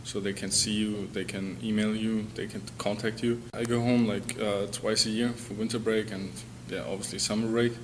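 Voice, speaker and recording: A man's voice: lowish voice, little pitch movement, normal speed, hardly any pauses, in a large hall, a little hiss or hum, poor audio. A man in his twenties with a German accent giving casual interview answers. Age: 20s. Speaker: student athlete interviewee.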